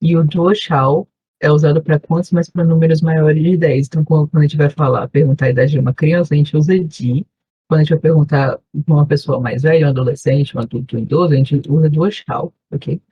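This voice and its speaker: A woman's voice: low-pitched, fairly even intonation, brisk, dark tone, muffled recording, a little hiss or hum. A woman with a Brazilian Portuguese accent, a volunteer teacher giving an online class review, explaining patiently and checking in often with her students. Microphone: video call.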